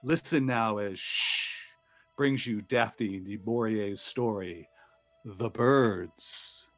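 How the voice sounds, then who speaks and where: A man acting as an ominous old-time radio suspense announcer, dramatic and deliberate, with dull vintage-style sound. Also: fairly low pitch, a bit bright, faint music behind, full character voice.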